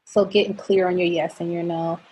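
A middle-aged woman, a coach and speaker, talking earnestly as a podcast guest about faith and boundaries, goofy and quick to laugh. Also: fairly low pitch, level tone, darkish tone, slight background noise, poor audio. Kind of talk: faith-based personal talk.

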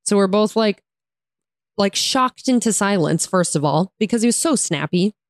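A woman with an American accent and a hoarse, raspy voice, casually telling a story in slangy, sarcastic, exasperated style and mimicking dialogue. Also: mid-range voice, animated, moderate pace, no echo, studio quality. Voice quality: raspy, tired voice. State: hoarse from talking all day. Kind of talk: casual chat, animated storytelling.

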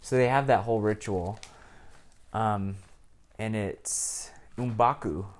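A man with a fairly low voice talks in a loose, conversational way. He retells plots at length and fills the gaps with ums and restarts as he goes. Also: slow pace. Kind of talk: casual chat about cartoons and films.

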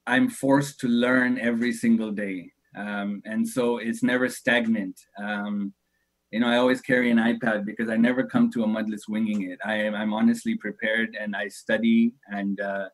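A man's voice: lowish voice, fairly even intonation, normal speed, low-quality recording. A man in his thirties, a religious teacher, answering interview questions thoughtfully, hesitating often and laughing easily. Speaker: shaykh, religious speaker.

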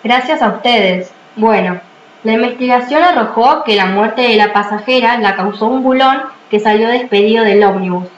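Woman as policewoman, high voice, echoey sound. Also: moderate pace, close to an everyday voice. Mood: formal. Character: policewoman explaining investigation findings. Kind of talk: amateur radio play interview. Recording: dull, echoey, faint noise.

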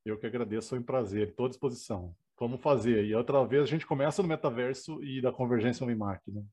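A man from Rio Grande do Sul with a faint gaúcho accent, a guest chatting in a friendly, relaxed way. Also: medium pitch, fast. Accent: faint gaúcho Brazilian Portuguese. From Rio Grande do Sul, Brazil. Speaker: guest, researcher. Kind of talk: casual interview chat.

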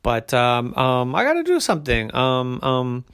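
A man's voice: medium pitch, wildly swooping pitch, measured pace, fairly bright tone, smooth, full character voice. A man voicing a sheepish bear in a bedtime story who stammers and fibs nervously. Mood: flustered. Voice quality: hesitant, stammering. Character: sheepish bear, fibbing and flustered.

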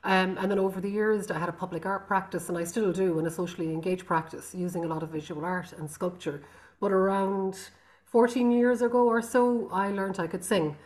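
A woman's voice: mid-range voice, steady tone, a little fast, darkish tone. Thoughtful Irish woman musician. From Ireland. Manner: thoughtful, with frequent ums and restarts. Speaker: guest artist. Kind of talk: reflective interview talk.

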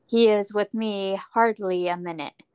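A woman voicing a naive, gentle young maiden in a storybook fairy tale. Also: mid-range voice, steady tone, unhurried, clear, resonant tone, no echo, highs cut off, lightly acted. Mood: innocent curiosity.

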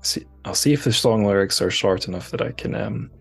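Low-pitched man hosting a casual podcast. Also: fairly quick, gravelly, husky, faint music behind. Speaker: podcast host.

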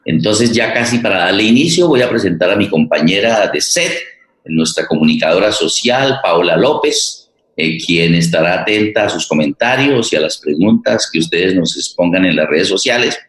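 A man with a Colombian accent hosting a live programme, speaking in a halting, deliberate way and often repeating words. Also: deep voice, animated, a bit bright. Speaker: host of a radio theatre programme. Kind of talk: live presentation to an online audience. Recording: roomy, echoing voice.

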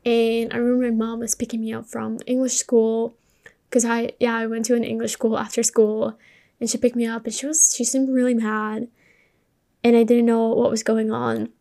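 A woman from Colombia whose first language is Spanish hosts a podcast alone. Her voice sits fairly high and stays rather even in pitch. She talks candidly and informally, as if telling her own story to a listener. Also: moderate pace, gravelly. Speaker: podcast host. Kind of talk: personal storytelling, conversational monologue.

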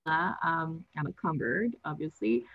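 A woman's voice: low-pitched, expressive, a little slow. A young woman, a native Spanish speaker, talking as a guest in a casual interview, a bit nervous, laughing and restarting her sentences. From Latin America. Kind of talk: conversational interview. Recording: dull lo-fi sound.

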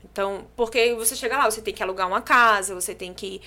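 A young adult woman with a Brazilian accent from João Pessoa, a podcast guest telling her story in casual chat, lively and animated. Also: medium pitch, fast talker. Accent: Brazilian Portuguese, from João Pessoa.

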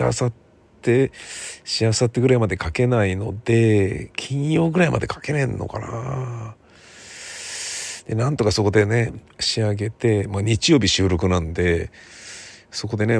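A man talking casually on his own, thinking aloud in a rambling, self-correcting way with a gravelly, husky voice. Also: deep voice. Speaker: radio drama writer and theatre playwright.

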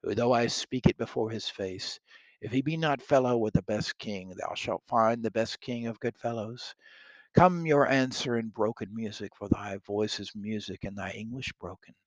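A bold young king pressing his suit in earnest old-fashioned verse, as read by a man. The voice sits low and carries a gravelly edge. It is dry and close, with no room around it. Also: moderate pace, dry recording, close to an everyday voice, low-quality recording. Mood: ardent. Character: bold young English king wooing a princess.

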